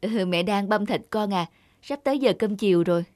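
A woman's voice: medium pitch, a little fast, darkish tone, dry recording, studio quality, lightly acted. A woman playing a caring young peasant mother in a children's cartoon, explaining things in a soothing, patient way. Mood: gently explaining. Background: music.

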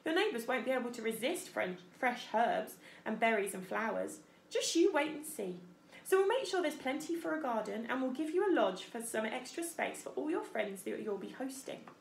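Woman putting on a gruff, grumbling male beaver. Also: a little high, big pitch swings, moderate pace, echoey room, a little hiss or hum. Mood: friendly, advising. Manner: blustering, grudging, advising.

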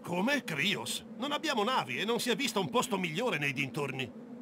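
Gloomy game exile, high voice, complaining, sceptical, noisy ambience behind. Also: male voice, very animated, moderate pace. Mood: doubtful. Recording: clear background ambience noise.

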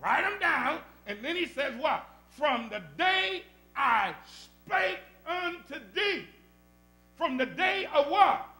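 An older man with a Southern US accent preaches at the pulpit in a church sanctuary, his voice high and bright with a gravelly edge. He swings from quiet asides to shouted call-and-response lines, and faint music sits behind him. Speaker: pastor teaching Bible study. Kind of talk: animated preaching. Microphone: stand mic at the pulpit.

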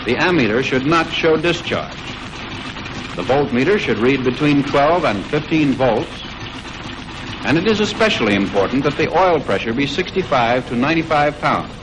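An American man reading scripted 1940s instructional film narration in a formal, measured way, on an old film soundtrack. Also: medium pitch, big pitch swings, background noise.

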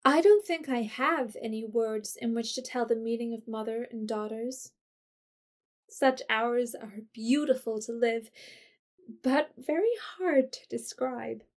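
A woman narrating a classic storybook in a measured way. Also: a little high, a little mellow.

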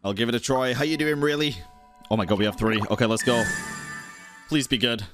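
A woman streaming a game live, reacting excitably and swearing as she goes. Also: extremely low pitch, a little fast. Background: game music.

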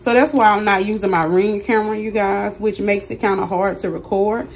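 A woman with a hoarse, partly lost voice, chatting casually to her viewers as she cooks in her home kitchen, recording on a handheld phone. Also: medium pitch, fairly even intonation, brisk, a little hiss or hum. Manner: chatty, keeps addressing viewers directly. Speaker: cooking channel host. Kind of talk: casual cooking vlog narration. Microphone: phone held in hand while cooking.

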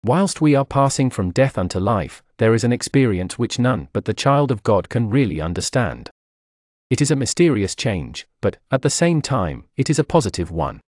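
A man's voice: mid-range voice, big pitch swings, moderate pace, darkish tone, no echo, clean studio sound. A man reading an old sermon aloud in an emphatic, declamatory preaching manner. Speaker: narrator reading a historical sermon. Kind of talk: scripted sermon reading, oratorical.

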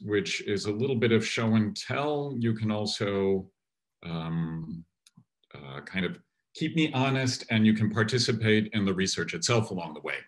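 A man in his fifties delivers an academic lecture from his home study over a video call. He speaks in a lowish voice, often repeating words and pausing on fillers. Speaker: guest lecturer. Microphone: laptop mic on a video call.

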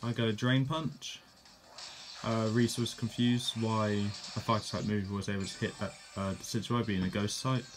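A man with a low, easygoing voice talks through his moves in a handheld game battle. He narrates plainly, with fillers and the odd self-correction. Quiet game music plays underneath. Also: steady tone, normal speed. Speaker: gameplay commentator. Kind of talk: casual play-by-play of a game battle.

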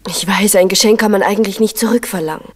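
A woman's voice: medium pitch, quick pace, husky, close to an everyday voice. An actress playing an anxious woman pleading to protect her man in a vintage radio crime drama.

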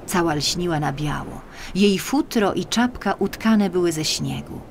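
A woman reading a scripted children's story as an audiobook narrator, with expressive, deliberate phrasing. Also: fairly low pitch, gravelly, a little hiss or hum. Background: music.

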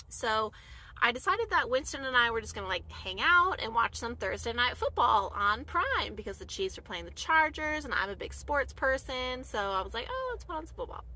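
A woman in her thirties from the United States telling a personal story in a casual, animated vlog, full of "like" fillers, filmed inside a dark camper van at night. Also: mid-range voice. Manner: chatty, animated, many "like" fillers. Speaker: vlogger.

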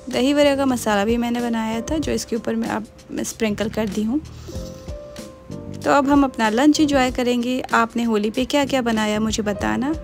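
A young woman casually narrating her home cooking in a voice-over, chatty and mixing in English words. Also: fairly high pitch. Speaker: cooking vlogger.

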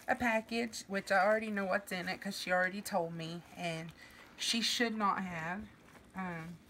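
A woman's voice: medium pitch, a little hiss or hum. A middle-aged woman, chatting as she opens mail in her living room. Her voice is going from a sinus infection, and she gushes her thanks. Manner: gushing, warm thanks with fillers. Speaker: vlogger. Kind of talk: casual mail-opening vlog.

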